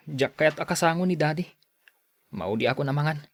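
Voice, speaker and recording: A man's voice: a little high, brisk, natural voice. A young man with a Filipino Ilocano accent playing a sulky but affectionate student son in a radio serial. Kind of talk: radio serial drama acting.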